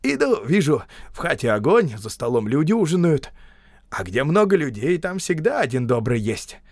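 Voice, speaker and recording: A man's voice: on the high side, sing-song, moderate pace, darkish tone, no room sound, clean studio sound, voice pushed up. A man voicing a sly, brash male peasant tramp in an audiobook story, boastful and teasing in rustic, colloquial speech.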